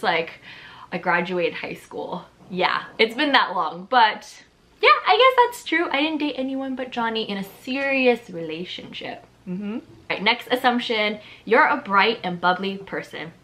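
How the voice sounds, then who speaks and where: An upbeat woman in her late twenties, raised with Chinese as her first language, talks straight to camera in a relaxed vlog. Her voice is bright and forward, and she chats on with a steady stream of casual fillers, sounding at ease. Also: mid-range voice. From Southern California. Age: 20s. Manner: upbeat, chatty, lots of "like" fillers. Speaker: vlogger.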